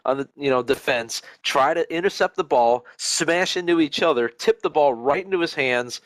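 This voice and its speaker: A man from Cleveland, Ohio, with an Ohio American accent, co-hosting a podcast in joking, animated casual chat. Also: on the high side, moderate pace, no echo, poor audio. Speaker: podcast co-host.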